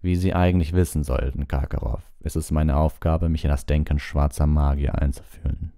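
Man voicing a gruff, threatening old veteran. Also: a bass voice, fairly even intonation, mellow, no room sound, clean studio sound. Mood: menacing. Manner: threatening, deliberate. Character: gruff menacing old male ex-hunter of dark wizards.

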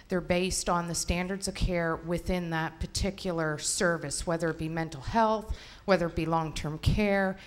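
Low-voiced female delegate, measured and deliberate, filling pauses with "um", addressing a convention floor. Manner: measured, with frequent ums. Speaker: union delegate asking a question. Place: convention hall.

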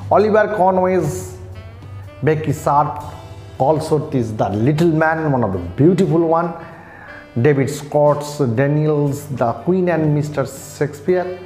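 A man in his forties with an Indian Bengali accent delivers a scripted literature lecture in a formal, deliberate, halting way. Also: on the high side, darkish tone. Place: small bare-walled indoor room. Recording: echoey room.

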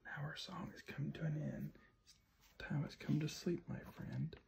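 A man reading a picture book to a small child at bedtime in a gentle, often hushed sing-song, recorded on a phone in a room at home. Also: a little high, moderate pace, gravelly. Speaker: parent reading to a toddler. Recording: noisy home phone video with clicks.

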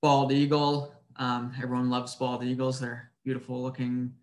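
A man's voice: medium pitch, completely flat delivery, normal speed, a little hiss or hum. Man presenting in an echoey room. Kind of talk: informal slide presentation. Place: indoors on a video call. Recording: roomy echo on webinar audio.

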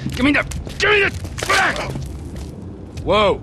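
An actor playing a volatile, foul-mouthed middle-aged criminal in a video game, swinging between mock politeness and menace. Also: male voice, extremely high pitch, sing-song, takes it slowly, noisy background, full character voice. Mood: enraged.

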